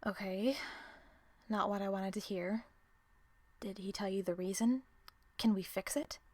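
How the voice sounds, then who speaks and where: A woman acting in an audio drama as a sharp-tongued, distrustful team member who swears and confronts people. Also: mid-range voice, steady tone, unhurried, dry recording, natural voice. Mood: curt, demanding. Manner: profane, confrontational, sarcastic. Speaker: voice actor in an audio roleplay.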